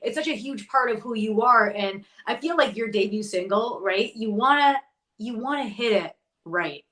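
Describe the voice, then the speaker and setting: Woman, interview host, upbeat, chatty, fairly high pitch. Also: expressive, fairly bright tone. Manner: upbeat, chatty, quick to agree. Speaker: podcast host. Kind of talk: casual interview.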